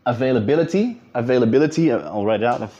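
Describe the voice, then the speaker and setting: A man's voice: medium pitch, expressive, fast, mellow, lo-fi sound. A man with a Dutch accent, a business coach talking casually and directly to viewers in an advice video. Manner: direct, informal, addresses viewers as guys.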